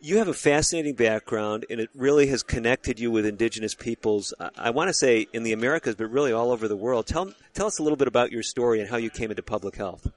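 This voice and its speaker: A man's voice: medium pitch, fast, few pauses. A man hosting a radio health show, interviewing a guest in a booth at a conference hall, chatty and given to small restarts. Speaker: radio show host and physician. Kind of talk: conversational interview.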